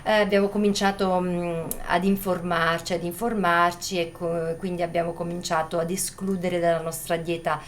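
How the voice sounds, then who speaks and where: A woman guest talking casually and hesitantly, pausing with fillers. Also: lowish voice, little pitch movement, slight background noise. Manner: speaks hesitantly with pauses and fillers. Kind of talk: casual conversation.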